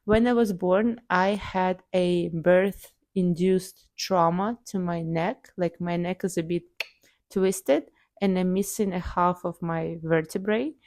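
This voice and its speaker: Slow-talking Russian woman with a gravelly voice. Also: fairly low pitch, a little mellow, no room sound. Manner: conversational, many fillers like "like" and "um". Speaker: vlogger, psychologist. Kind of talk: casual personal video diary.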